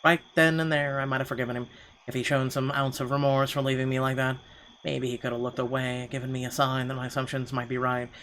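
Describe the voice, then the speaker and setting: A man's voice: mid-range voice, little pitch movement, fast talker, fairly bright tone, a little hiss or hum. A man reading first-person narration from a game aloud in a dramatic storytelling style. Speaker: gaming channel host reading a visual novel aloud.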